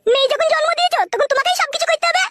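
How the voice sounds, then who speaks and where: Shrill, fast-scolding village mother. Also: pushed and bright. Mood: nagging. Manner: brash, nagging, combative. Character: shrill, foul-mouthed, scolding middle-aged village mother. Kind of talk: bawdy cartoon comedy acting.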